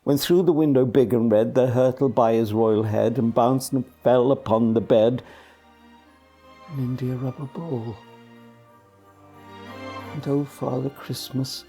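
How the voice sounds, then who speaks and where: A man reciting a children's verse story in a measured, dramatic, expressive way. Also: mid-range voice. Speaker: poetry reader. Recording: music behind the voice. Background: music.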